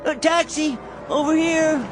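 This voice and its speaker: A man's voice: very high-pitched, fairly even intonation, measured pace, music in the background. An actor playing a meek, bewildered salesman in a radio drama, speaking in a hesitant, prim and wordy way. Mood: flustered, anxious. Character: meek, fussy, bewildered vacuum cleaner salesman.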